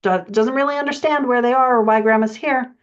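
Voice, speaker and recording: A woman coaching writers in an explanatory lecture style, speaking haltingly with pauses as she thinks through her points. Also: a little high. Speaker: writing coach presenting a podcast lesson. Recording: dull, band-limited audio.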